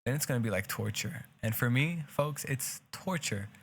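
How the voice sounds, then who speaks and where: Man, hebrew accent, young adult, earnest and rambling, mid-pitched voice. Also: lively intonation, moderate pace. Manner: earnest, rambling with frequent restarts. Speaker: podcast co-host. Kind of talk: casual podcast chat.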